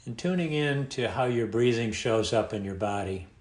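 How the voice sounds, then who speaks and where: A man with a medium-pitched voice guides a meditation in an echoey room. He speaks calmly and without hurry, leaving long pauses between short, instructive phrases. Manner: calm, unhurried, with long pauses. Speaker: meditation teacher.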